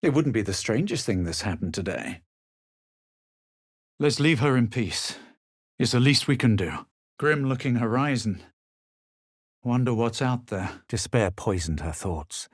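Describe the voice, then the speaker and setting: An actor playing a proud, self-assured adventurer in a fantasy game, with measured, theatrical delivery and a husky voice. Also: male voice, medium pitch, lively intonation, no room sound, studio quality. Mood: resolute, assured. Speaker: player character voice actor. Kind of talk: dramatic scripted fantasy game lines.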